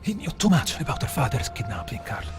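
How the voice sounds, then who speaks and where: An actor playing an earnest, troubled resistance fighter in a video game cutscene, grave and emphatic. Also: male voice, mid-range voice, sing-song, quick pace, faint music behind, close to an everyday voice.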